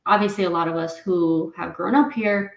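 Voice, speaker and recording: A woman's voice: fairly low pitch, fairly even intonation, normal speed, vocal fry. A woman in her thirties from New Jersey with a New York–New Jersey accent, hosting an interview and asking questions in a hesitant, rambling way with many ums. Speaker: podcast host. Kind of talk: interview chat. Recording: echoey room.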